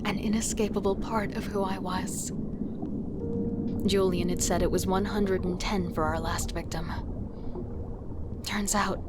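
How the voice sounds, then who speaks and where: A woman playing a remorseful, tender serial killer, narrating a horror audio drama in a soft, intimate, partly whispered delivery. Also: medium pitch, heavy background noise, close to an everyday voice. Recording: loud music bed.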